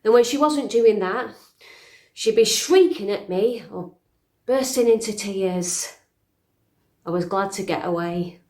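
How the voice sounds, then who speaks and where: In a roomy, echoing space, a woman acts a middle-aged fairytale princess looking back on her life. She talks slowly and confidingly, with dry, understated wit and a weary edge, as in an intimate stage monologue. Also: medium pitch, warm tone, lightly acted. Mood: wry, confiding. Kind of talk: dramatic monologue, conversational.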